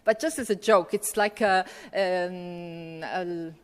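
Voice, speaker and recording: A woman's voice: lowish voice, steady tone, takes it slowly, clear, resonant tone. Woman lecturing in a hall. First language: Italian. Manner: hesitant, many fillers and restarts in answers. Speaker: keynote speaker. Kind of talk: academic lecture and Q&A answers. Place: conference hall. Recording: long reverb of a lecture hall.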